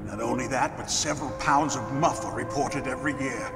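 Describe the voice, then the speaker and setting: Man acting a battered, gravelly captive executive. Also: medium pitch, fairly quick, natural voice. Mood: grave, lecturing. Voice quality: gravelly, strained. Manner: weary, bitter, explains at length with dramatic emphasis. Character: battered, cynical male arms-company boss held captive. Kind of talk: dramatic video game voice acting. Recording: echoey hall reverb under loud music.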